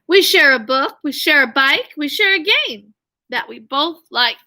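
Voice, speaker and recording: A woman's voice: high-pitched, lively intonation, a little slow, bright, forceful tone. A woman teacher reading a story aloud to young children, expressively, with questions and asides. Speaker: elementary school teacher.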